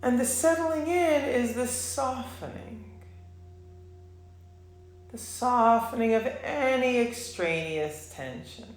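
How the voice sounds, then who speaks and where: A woman teaching a guided meditation class, speaking softly and unhurriedly with long pauses between phrases. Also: a little high, lively intonation, reverberant, faint music behind.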